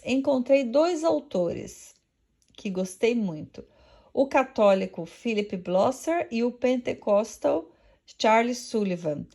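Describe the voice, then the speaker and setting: A woman with a Portuguese accent giving a measured, devout religious reflection read from a script. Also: a little high, vocal fry, mellow.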